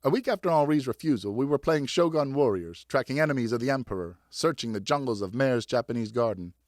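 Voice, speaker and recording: A man narrating an audiobook as a dramatic, theatrical storyteller with expressive scripted delivery. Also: mid-range voice, fast talker, dry recording, clean studio sound. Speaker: audiobook narrator, professional voice actor.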